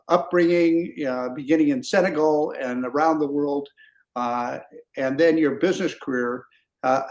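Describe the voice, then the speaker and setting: A man hosting a podcast interview, asking questions in a halting way with many fillers. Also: fairly high pitch, reverberant, poor audio. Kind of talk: conversational interview.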